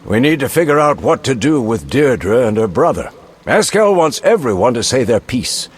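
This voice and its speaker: An actor playing a cautious senior mentor of monster hunters in a video game, deliberate and authoritative. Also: male voice, mid-range voice, sing-song, husky.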